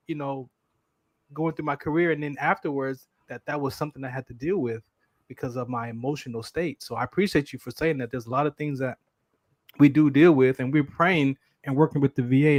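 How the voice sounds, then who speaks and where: Casting: male interview host, mid-pitched, earnest, stumbling restarts, dry close-mic sound. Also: fairly even intonation, fairly quick, no echo. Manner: earnest, repeats words, says "right?" often. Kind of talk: casual interview talk.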